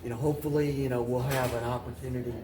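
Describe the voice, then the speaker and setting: An older man answers interview questions in a reflective, halting way, circling back over his phrases. His voice sits at a middling pitch. He is some way from the mic in a roomy space, with background chatter. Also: fairly even intonation, far from the mic. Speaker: mayor, talk show guest.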